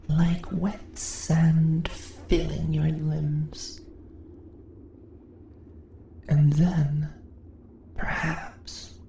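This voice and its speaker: A woman acts out a predatory female spider monster in a fantasy ASMR roleplay. She speaks extremely slowly in a low, breathy voice with some creak, slipping into whispers. Her manner is seductive, mocking and in control, heard in a slightly echoing space. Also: dark tone, heavily acted. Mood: sinister, coaxing. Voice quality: breathy, often dropping into whispers. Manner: slow, teasing, condescending, drawn-out questions. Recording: roomy echo, faint background noise.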